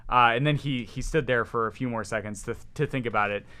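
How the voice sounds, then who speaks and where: A man with a loud, resonant voice, talking excitedly as a podcast guest, rambling with many restarts. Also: medium pitch, quick pace, clean tone. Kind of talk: casual chat.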